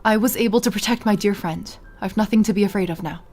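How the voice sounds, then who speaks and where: A woman's voice: mid-range voice, quick pace, darkish tone, lightly acted. A woman voicing a defiant young captive maiden, frightened but proud, speaking earnestly.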